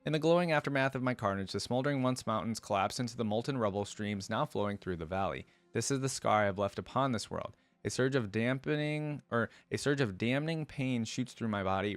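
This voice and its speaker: A man's voice: fairly low pitch, dry recording, lightly acted. A man voicing a proud, vengeful celestial dragon in grandiose, florid storybook narration. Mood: scornful, pained. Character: proud, vengeful celestial star-forging dragon held captive.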